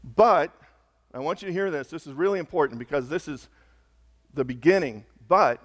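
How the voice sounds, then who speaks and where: A man preaching a sermon as a pastor, hoarse, talking conversationally and building to slow, emphatic peaks. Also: a little high, lively intonation, fairly bright tone, big-room sound. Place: church sanctuary.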